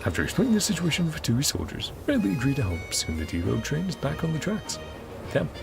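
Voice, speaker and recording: A man's voice: on the high side, huge pitch swings, fast, gravelly. A man giving a casual, rambling game playthrough commentary, musing as he goes and easily amused. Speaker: gaming content creator. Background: game music.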